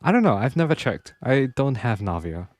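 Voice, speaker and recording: A woman's voice: rumbling low, sing-song, moderate pace, darkish tone. A woman streaming a video game with casual commentary, reacting briefly and reading some lines aloud. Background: game music.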